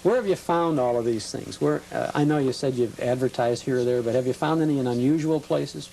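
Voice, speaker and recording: A man's voice: mid-range voice, a little fast, creaky, mellow, slight background noise, rough recording. A man hosting a TV interview, asking curious, prompting questions and hesitating often along the way. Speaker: TV interview host. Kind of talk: conversational TV interview.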